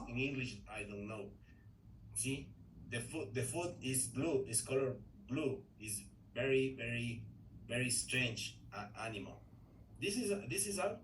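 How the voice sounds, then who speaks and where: A man from Ecuador, a native Spanish speaker with an Ecuadorian Spanish accent, talking haltingly in English class with many fillers and restarts. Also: mid-range voice, fairly bright tone. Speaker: English learner. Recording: echoey room, distant mic, faint noise.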